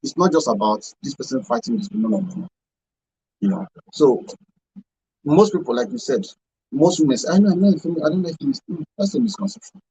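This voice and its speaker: A Nigerian man explains his points emphatically in a radio discussion. His voice is creaky and husky, with a very lively pitch that rises and falls. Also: fast talker, dry recording, highs cut off. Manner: emphatic, repeats himself. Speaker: radio show panelist. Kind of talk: explanatory talk show discussion.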